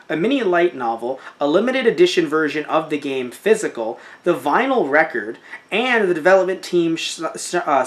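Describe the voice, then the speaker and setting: Young male podcast co-host, animated and jokey, very expressive pitch. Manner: animated, joking, with mocking put-on quotes. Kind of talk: casual chat about a crowdfunding campaign.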